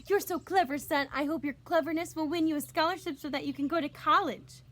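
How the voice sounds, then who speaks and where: A woman's voice: high voice, steady tone, a little fast, fairly bright tone, pitched above the performer's own voice. A woman voicing a breezy, cheerful, forgetful mother in a storybook, gushing in a singsong way.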